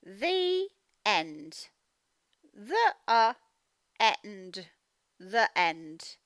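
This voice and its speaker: A woman teacher giving a children's read-aloud phonics lesson, speaking in an animated, emphatic, instructional way and sounding out words. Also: mid-range voice, very slow, no room sound, studio quality. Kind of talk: children's read-aloud lesson, explaining directly to young learners.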